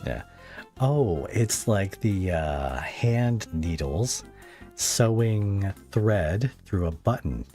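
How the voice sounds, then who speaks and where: A man's voice: low-pitched, expressive, very slow. A man hosting a casual podcast interview, informal and a little hesitant in his phrasing. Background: soft guitar music.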